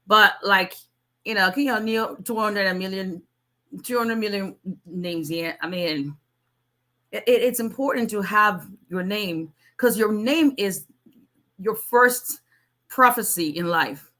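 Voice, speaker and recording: A woman's voice: mid-range voice, takes it slowly, pushed and bright. A woman in her forties from Nigeria, speaking as an immigration attorney answering viewers in a casual live Q&A, with a strong voice and frequent restarts. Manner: strong voice, chatty, with many restarts. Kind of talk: casual livestream Q&A.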